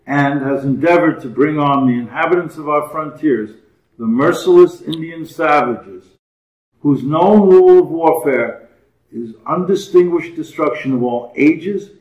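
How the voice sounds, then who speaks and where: A man's voice: fairly high pitch, darkish tone. A man giving a formal reading of a historical document. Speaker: volunteer reader. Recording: echoey room, dull muffled sound.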